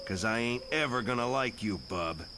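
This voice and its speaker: A man's voice: medium pitch, animated, moderate pace, background noise, close to an everyday voice. A man playing a surly, blunt tough mutant fighter in a motion comic, curt and slangy. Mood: irritated. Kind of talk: motion comic character acting.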